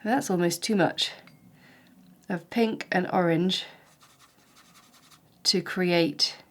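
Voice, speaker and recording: A woman, a little snuffly with hay fever, talking through a watercolour painting tutorial in a gentle, chatty, encouraging way. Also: on the low side, slow pace. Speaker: watercolour art teacher.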